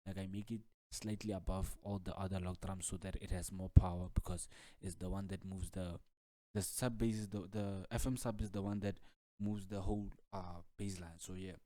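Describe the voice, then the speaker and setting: Low, monotone man giving a casual tutorial. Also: normal speed, no echo. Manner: casual, repetitive filler-heavy explaining with frequent "like" and "man". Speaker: music production tutor. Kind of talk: informal software tutorial walkthrough.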